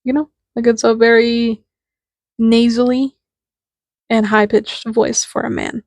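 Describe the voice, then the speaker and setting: A young woman in her twenties talks through her thoughts in a loose, candid way, swearing now and then. Her voice is nasal and sits a bit high. The sound is dry, with no room echo. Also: little pitch movement, unhurried, creaky. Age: 20s. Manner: candid, rambling, swears casually. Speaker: podcast host. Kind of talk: casual reflective monologue.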